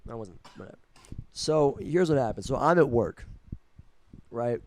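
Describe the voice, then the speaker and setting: A man's voice: mid-range voice, lively intonation, measured pace, darkish tone, a little hiss or hum. A young man co-hosting a podcast, sick and stuffy, telling a long story in casual, profane, rambling talk. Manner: rambling, profane, restarts often. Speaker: podcast co-host.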